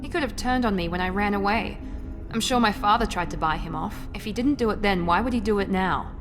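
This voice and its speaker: A woman's voice: mid-range voice, fairly quick, lightly acted. Proud, guarded actress as woman operative, music behind. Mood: confiding, certain. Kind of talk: dramatic video game acting.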